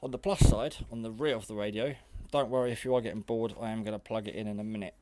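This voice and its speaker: A man's voice: lowish voice, fairly even intonation, fast talker, darkish tone, dry recording. A man giving a casual, hands-on product demonstration in a hesitant, rambling, matter-of-fact way. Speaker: hobbyist radio reviewer. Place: garage.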